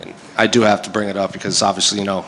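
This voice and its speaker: A man's voice: on the low side, little pitch movement, very fast, fairly bright tone, in a large hall, slight background noise, poor audio. A man asking a fighter rambling, filler-heavy questions at a media day. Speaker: MMA reporter. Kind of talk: press interview questions.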